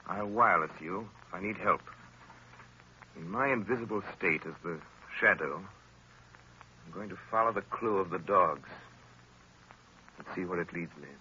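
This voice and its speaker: A man playing a poised, inquisitive gentleman detective on an old radio broadcast. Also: low voice, moderate pace, pauses often, dull audio, slight background noise.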